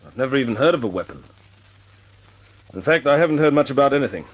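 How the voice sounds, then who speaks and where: A man playing a brisk young squadron leader. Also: medium pitch, animated, lightly acted, low-quality recording. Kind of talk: 1940s radio acting.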